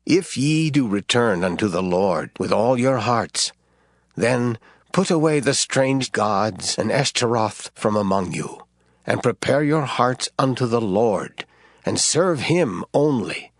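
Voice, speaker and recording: A man playing a solemn, commanding prophet in a dramatized scripture reading, exhorting in measured phrases. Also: mid-range voice, animated, no echo, clean studio sound. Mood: solemn exhortation. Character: Israelite prophet, a solemn, commanding religious leader.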